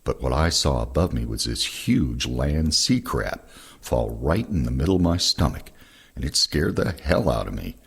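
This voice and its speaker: An older man with a gravelly, husky voice reading first-person war recollections aloud. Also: very deep voice, big pitch swings, normal speed. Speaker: reader of veterans' oral histories.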